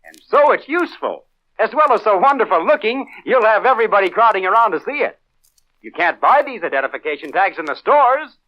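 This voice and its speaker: A man's voice: high-pitched, sing-song, fairly quick. An American man announcing a 1940s children's radio show with emphatic, boyish enthusiasm. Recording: dull old 1940s radio recording.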